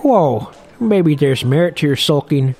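A man's voice: a little high, animated, measured pace, a little mellow, husky, voice pushed up, heavily acted. A man voicing a short, jolly old fishing boat captain who talks in a chatty, boisterous, lilting way.

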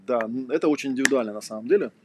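A man's voice: medium pitch, rapid-fire, a little mellow, slight background noise. A man giving a casual lecture, talking conversationally with lots of fillers and rhetorical questions.

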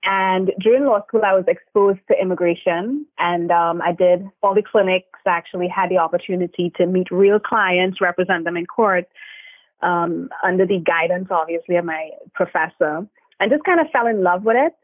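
A woman's voice: on the low side, moderate pace, no echo. A woman from Trinidad and Tobago, an immigration attorney, telling her story as an interview guest with earnest fillers. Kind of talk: podcast interview, remote guest. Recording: tinny phone line.

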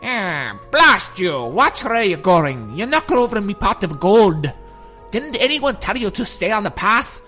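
A man's voice: sits high, big pitch swings, a little slow, pushed and bright, faint music behind, voice pushed up, exaggerated voice. A man voicing a grouchy male leprechaun who guards his gold, scolding and threatening with a sing-song, riddling manner.

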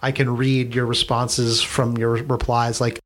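A man as a podcast guest, talking in a chatty, animated way and filling his talk with "like". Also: medium pitch, a little slow. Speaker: guest, conversation designer. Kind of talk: casual interview chat.

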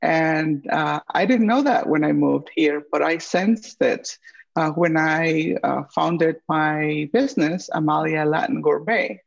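Gravelly woman, lo-fi sound. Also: low-pitched. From Guatemala. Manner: unhurried, many uh fillers and pauses. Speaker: guest, chef and entrepreneur. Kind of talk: interview answers. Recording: dull lo-fi audio.

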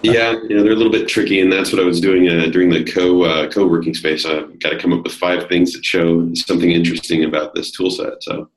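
A man with a deep, slightly worn voice speaks as a podcast guest. He explains technical points in a relaxed way, full of little fillers. The recording carries a roomy echo. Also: brisk, few pauses. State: slightly hoarse. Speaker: guest, developer advocate. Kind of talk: casual technical interview.

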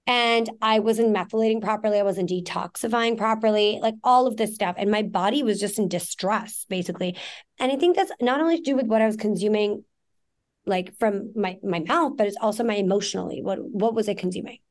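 A woman's voice: mid-range voice, a little fast. A woman in her forties who grew up in Pakistan, talking frankly and at length as a podcast guest, with plenty of fillers. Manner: frank, talks in long runs with fillers. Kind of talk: candid conversational interview.

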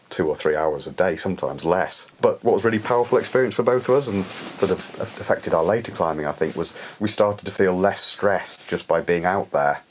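A middle-aged man from the UK, an alpinist, telling climbing stories in a hesitant, reflective way with frequent restarts. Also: low-pitched. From United Kingdom. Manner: hesitant, many restarts and soft laughs. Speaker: alpinist guest. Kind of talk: reflective conversational storytelling.

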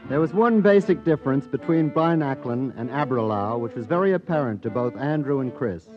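Animated male old-time radio narrator. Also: mid-range voice, fairly quick. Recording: muffled old radio recording with music.

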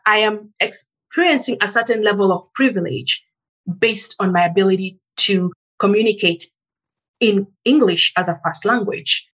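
A woman from Kenya, a researcher, giving a measured, reflective interview answer and building her points in lists. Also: medium pitch, a bit bright, husky, lo-fi sound.

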